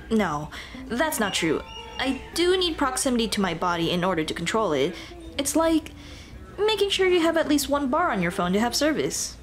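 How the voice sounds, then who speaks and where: A woman voicing a cheerful headless Dullahan on a date in a scripted ASMR roleplay, chatting playfully and directly to the listener. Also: a little high. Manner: playful, animated, punning, speaking directly to the listener.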